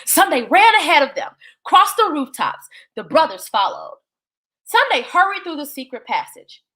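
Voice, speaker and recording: Female storyteller for kids' bedtime tales. High, bright voice, expressive and folksy, big swings in pitch. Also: moderate pace. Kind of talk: animated children's bedtime story reading.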